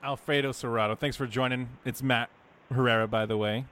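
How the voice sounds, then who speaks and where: Bright-voiced earnest man. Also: mid-range voice, fairly even intonation, fairly quick, smooth. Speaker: podcast co-host. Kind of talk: casual podcast chat.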